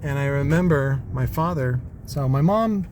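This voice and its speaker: A middle-aged American man rambling wryly through personal stories while driving, with frequent "right?" asides. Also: unhurried. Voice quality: fairly high-pitched voice. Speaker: vlogger. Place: in a vehicle, driving. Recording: loud car and road noise. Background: car and traffic sounds.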